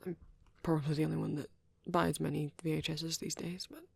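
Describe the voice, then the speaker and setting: Shy vampire boyfriend performed by a woman for ASMR roleplay: breathy, creaky, nervous and halting, very dry intimate recording. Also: low voice, flat delivery, dry recording, exaggerated voice. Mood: shy, explaining. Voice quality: soft, husky, breathy. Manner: hesitant, stammering, self-doubting. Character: shy, nervous young male vampire on a date.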